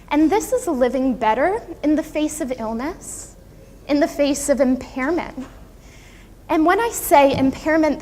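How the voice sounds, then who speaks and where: A woman with a soft voice giving an earnest, gracious conference talk, laying out her points carefully. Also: high voice. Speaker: conference presenter, occupational therapist. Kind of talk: prepared conference talk to an audience. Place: conference room, speaking to an audience. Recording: echoey hall with audible background noise.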